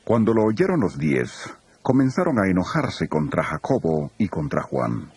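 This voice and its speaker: A man with a gravelly voice narrating scripture in a grave, storytelling delivery. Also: deep voice, sing-song, measured pace, darkish tone, dry recording.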